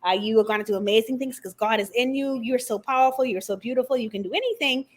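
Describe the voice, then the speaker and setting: Animated woman from the Bahamas. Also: on the high side, quick pace. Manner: animated, expressive storytelling with faith references. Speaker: guest author and confidence coach. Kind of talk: conversational interview.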